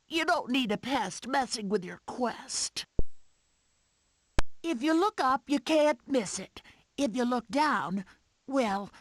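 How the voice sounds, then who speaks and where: A woman voicing a young, eager, jittery animal in an animated game. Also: on the high side. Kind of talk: animated video game character acting. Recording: noisy game clip rip.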